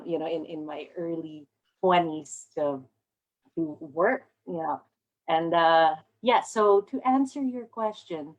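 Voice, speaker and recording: A woman from the Philippines speaking on a community panel as an organization's president, earnest and thoughtful, pausing on fillers. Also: on the low side, rough recording. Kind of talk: panel discussion talk.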